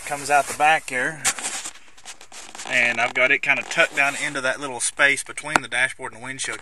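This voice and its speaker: A man giving a casual, hands-on walkthrough as a video host, with somewhat halting, hesitant phrasing. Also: mid-range voice. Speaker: host of a how-to video on CB radio installation. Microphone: handheld camera's built-in microphone. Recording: clicky handheld camera audio, background noise.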